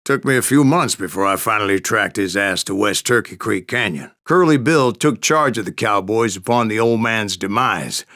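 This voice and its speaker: An actor playing an old Wild West bounty hunter who tells boastful tall tales in a folksy American Western drawl for a video game. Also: male voice, fairly low pitch, moderate pace, few pauses, pushed and bright, no echo, clean studio sound. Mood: grim storytelling. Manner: folksy, salty, cussing storyteller. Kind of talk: theatrical storytelling narration for a western video game.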